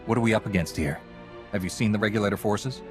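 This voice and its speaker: A calm, noble warrior monk played by a man in a sci-fi game cutscene. His voice is on the low side, formal and firmly assured, with clear music behind it. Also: quick pace, lightly acted. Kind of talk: video game cutscene acting.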